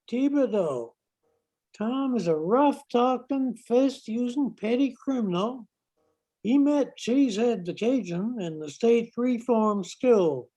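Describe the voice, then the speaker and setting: An older woman plays a rough-talking small-time crook. The voice is fairly high and dark-toned, slowly reading a radio drama part. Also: poor audio. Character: rough-talking, fist-using petty criminal man.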